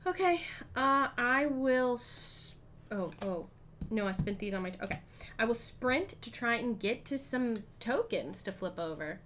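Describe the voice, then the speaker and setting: A woman streaming a solo board game, chatting casually and thinking aloud, with frequent ums and throat clearing. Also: on the high side, expressive, unhurried, a bit bright, a little hiss or hum. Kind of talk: casual live-stream play-through.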